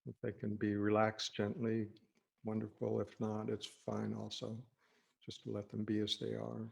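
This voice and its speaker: A man with a low, even voice and a noticeable fry guides a meditation. He speaks as a teacher thinking aloud, in short halting phrases with pauses. His manner is gentle and reassuring. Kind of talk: guided meditation, unscripted spoken instruction.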